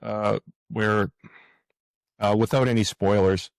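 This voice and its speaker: An older man speaking as an interview guest author, earnest and halting, often stammering and repeating words. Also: on the low side, steady tone, creaky, dry recording.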